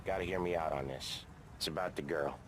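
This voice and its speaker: Deep-voiced gruff American gangster. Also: male voice, fairly quick, background noise. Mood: earnest, pleading. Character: gruff but soft-hearted male gangster. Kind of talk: dramatic video game cutscene acting.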